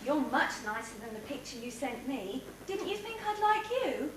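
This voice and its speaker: A woman's voice: high voice, very animated, normal speed. A woman playing an eager, bashful young housemaid heroine, speaking earnestly in a stage pantomime. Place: theatre stage. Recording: echoey hall from far off, noisy.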